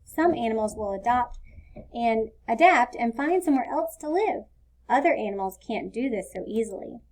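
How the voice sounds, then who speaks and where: A woman's voice: on the high side, expressive, moderate pace, darkish tone. A woman reading the part of a patient, caring mother who explains things in a children's storybook. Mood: gentle, concerned. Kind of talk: children's storybook read-aloud.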